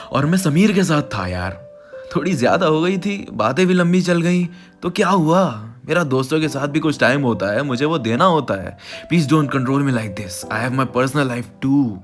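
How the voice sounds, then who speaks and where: A man's voice: mid-range voice, pitched above the performer's own voice, close to an everyday voice. An Indian man voicing a defiant, carefree young boyfriend in a story, talking in a casual, slangy, pleading way. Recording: voice over background music.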